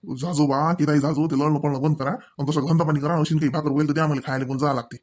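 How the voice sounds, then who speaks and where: A man's voice: a little high, steady tone, fast talker, darkish tone, close to an everyday voice. A man voicing a harried village husband in a comedy cartoon, grumbling and placating with a Varhadi Marathi accent. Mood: resigned. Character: harried village husband, irritable but resigned peacemaker.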